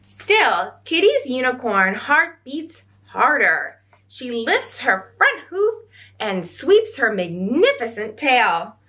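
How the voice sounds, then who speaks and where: A young woman storyteller reading a picture book aloud to children, expressive and full of wondering questions. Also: a little high, takes it slowly. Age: young adult. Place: small indoor room.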